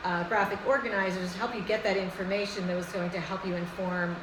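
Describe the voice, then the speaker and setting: A woman's voice: mid-range voice, fairly even intonation, fast, echoey room, noisy background. A woman teacher reflecting candidly and thoughtfully on a lesson in an interview. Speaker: middle school teacher.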